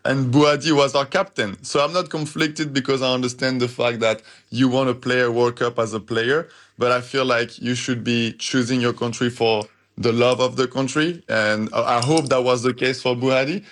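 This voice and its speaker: A young man, a football fan guest, talking earnestly on a radio show with some halting, slightly non-native phrasing. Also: medium pitch, steady tone, fairly bright tone. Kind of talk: live radio interview.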